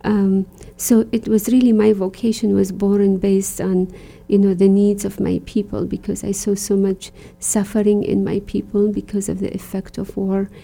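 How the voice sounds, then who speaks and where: A woman from Iraq, a religious sister, speaking earnestly and reflectively in an interview, often pausing with "you know". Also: mid-range voice, level tone, creaky, mellow. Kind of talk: conversational interview.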